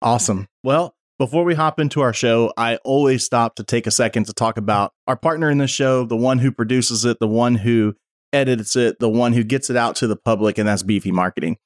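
A man hosting a podcast interview, chatty and friendly, reading sponsor copy smoothly. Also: mid-range voice, brisk, no echo, clean studio sound. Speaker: podcast host. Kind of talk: casual interview chat.

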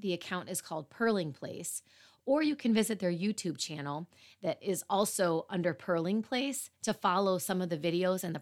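A woman hosting a parenting podcast, slightly hoarse, talking earnestly with fillers. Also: on the low side. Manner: earnest, apologetic asides with fillers. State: slightly hoarse after illness. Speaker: podcast host. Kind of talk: podcast intro and interview.

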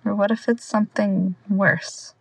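A woman's voice: mid-range voice, little pitch movement, a little slow, vocal fry, breathy edge, dry recording. A young woman playing an anxious, sardonic amateur sleuth in a naturalistic audio drama, talking in a casual, rambling way. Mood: brooding.

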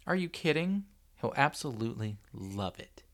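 A man's voice: mid-range voice, big pitch swings, moderate pace, fairly bright tone, pitched above the performer's own voice. A man voicing an adult wife and mother with an emphatic, emotional delivery in a story reading. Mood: playful. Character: teasing wife and mother turned frantic, adult woman.

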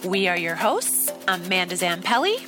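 A woman co-hosting a casual podcast chat, her voice slightly scratchy and tired, talking in a chatty, animated way. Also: on the low side, music in the background. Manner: chatty, animated, quick laughs. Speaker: podcast co-host.

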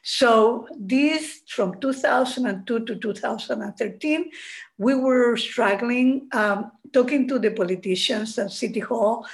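A woman's voice: a little high, measured pace. An older woman with a heavy Chilean accent, a guest in an interview, telling her story earnestly with many fillers and restarts. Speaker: guest, mural advocate. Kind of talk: casual interview.

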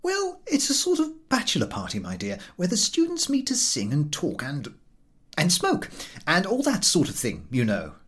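A sheepish, genteel husband from a comic storybook, acted out by a man. He hedges politely and hesitantly, his pitch often lifted and very changeable. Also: huge pitch swings, moderate pace, a bit bright, husky, full character voice. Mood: sheepish explaining.

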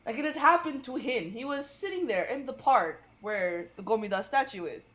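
A woman's voice: fairly high pitch, normal speed. A woman co-hosting a podcast, joking and telling stories in a casual chat. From United States. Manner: joking, animated storytelling. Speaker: podcast co-host.

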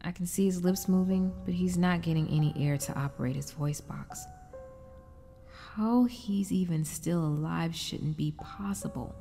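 A woman playing a shaken, compassionate wife in an audio drama, with halting, stammering, hushed delivery. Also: fairly low pitch, close to an everyday voice. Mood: distressed sympathy. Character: shaken, compassionate wife trapped in frozen time. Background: background music.

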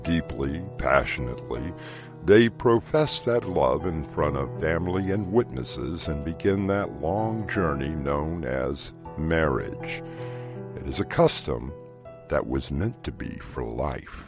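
A man hosting a horror audio drama, narrating in a slow, dramatic, portentous way. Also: extremely low pitch, expressive. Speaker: host and narrator. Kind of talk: ominous horror anthology narration. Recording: music bed behind the voice.

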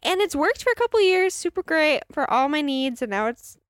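A young woman with a high voice chats easily, laughing often and dropping slang. Her tone is laid-back and relaxed, and the recording is dry and close with no room sound. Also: fairly quick, no room sound. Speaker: co-host. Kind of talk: casual chat.

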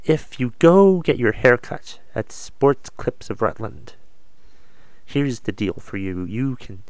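A man's voice: medium pitch, very animated, takes it slowly, darkish tone, dry recording. A man with a cold, slightly congested, hosting his own podcast in a rambling, self-deprecating, casual way. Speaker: podcast host. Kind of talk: casual solo podcast monologue.

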